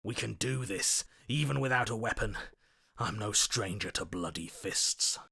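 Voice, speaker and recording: A man playing a stubborn, combative inner voice in a video game, forceful, scornful and commanding. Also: lowish voice, measured pace, vocal fry, breathy edge, no room sound, studio quality, heavily acted. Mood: grim determination. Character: stubborn, combative male inner voice itching for a fight. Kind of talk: dramatic video game voice acting.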